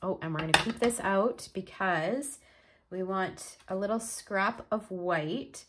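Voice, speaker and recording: A woman's voice: medium pitch, slow, very noisy. A woman from Canada explaining a card-making project step by step while she works, a little stuffed up from a cold. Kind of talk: crafting tutorial.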